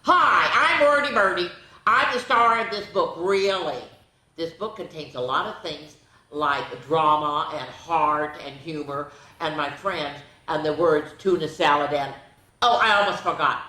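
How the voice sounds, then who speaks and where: A reader voicing an excitable little girl bird from a picture book who never stops talking. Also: medium pitch, measured pace, reverberant, rough recording. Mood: excited. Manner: bubbly, nonstop talker. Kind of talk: children's picture-book reading.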